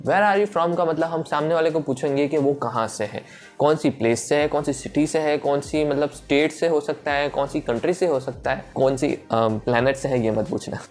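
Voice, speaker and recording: A man teaching spoken English, explaining in Hindi and repeating each English phrase slowly and clearly. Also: fairly high pitch, darkish tone. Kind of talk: bilingual spoken-English lesson.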